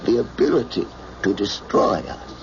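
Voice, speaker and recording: An actor playing a weary, persuasive, pragmatic president burdened by duty in a radio drama. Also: male voice, fairly low pitch, animated, normal speed, soft background music. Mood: grave, insistent. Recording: muffled old radio broadcast, faint noise.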